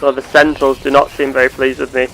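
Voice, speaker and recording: A man voicing a curious, earnest space explorer with measured, formal, theatrical phrasing, narrating a sci-fi game roleplay. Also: mid-range voice, little pitch movement, a bit bright, soft background music, lightly acted, rough recording. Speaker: gameplay host.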